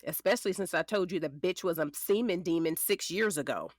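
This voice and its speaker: A woman's voice: on the low side, a little fast, no room sound, clean studio sound, close to an everyday voice. An actress playing a frank, sassy middle-aged lawyer in a naturalistic audio drama, protective of the ex-husband she represents. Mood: teasing, sassy. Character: frank, sassy female lawyer, protective ex-wife of her client. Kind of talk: naturalistic scripted drama dialogue.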